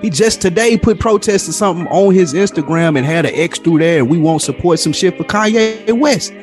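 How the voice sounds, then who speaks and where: A man's voice: high voice, a little fast, faint music behind. A man co-hosting a podcast in casual debate, speaking bluntly with slang and swearing. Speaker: podcast co-host.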